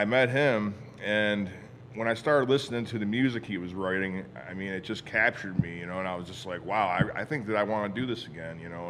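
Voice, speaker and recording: A lower-voiced man from Western New York chats as a guest musician, rambling and scattering "you know" through his sentences. A faint noise floor sits under the recording. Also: a bit bright. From Western New York, USA. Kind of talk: casual interview chat.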